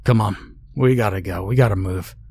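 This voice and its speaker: Uneasy male hiker in a horror audio story, read by a male narrator: low-pitched, urgent, worried. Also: moderate pace, vocal fry, darkish tone.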